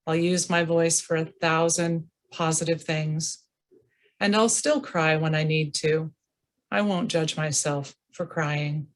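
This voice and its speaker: A woman reading her own memoir aloud at a virtual reading, slow and deliberate, over a video call. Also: sits low, steady tone. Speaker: reader.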